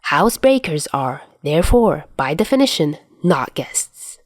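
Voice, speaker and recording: A woman's voice: sits low, sing-song, a little slow, no room sound, studio quality, full character voice. A woman voicing an irritable, cocky young man with a curt, snappish, sardonic delivery in an audiobook story. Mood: snapping.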